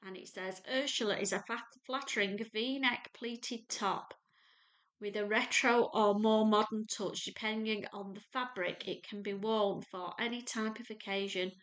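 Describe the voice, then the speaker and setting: A woman in her fifties with a North Lancashire accent talks at an easy, unhurried pace, chatty and homely. Her pitch sits in a middle range. She speaks to a desk webcam in her home sewing room. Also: fairly even intonation, a bit bright, rough recording. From England. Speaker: sewing vlogger. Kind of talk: casual chat to camera and screen walkthrough.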